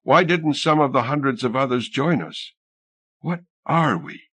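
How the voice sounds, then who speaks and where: A man's voice: medium pitch, unhurried, fairly bright tone, breathy edge, no room sound, pitched above the performer's own voice. A man voicing a young drowned nurse, tender, weary and wistful, in an audiobook story. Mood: troubled wondering.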